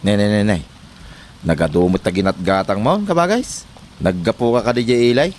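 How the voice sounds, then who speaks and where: An animated male voice by an actor plays a defensive husband in a comedy drama. He grumbles and protests with lively swoops, over faint background noise. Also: lowish voice, normal speed, natural voice. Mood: friendly. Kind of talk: Ilocano comedy drama acting.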